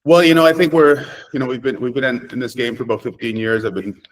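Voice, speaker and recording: A man's voice: medium pitch, fairly even intonation, quick pace, low-quality recording. A middle-aged man from Canada giving conversational interview answers as a property developer, emphatic and speaking in short declarative sentences.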